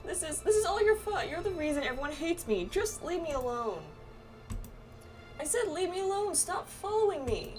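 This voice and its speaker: Girl doing an awkward outcast kid from a game, higher voice, pleading n halting, music under it. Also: very animated, darkish tone, recorded from a distance. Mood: upset, bitter. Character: awkward, earnest, bullied outcast boy Neil. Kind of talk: game character dialogue read aloud.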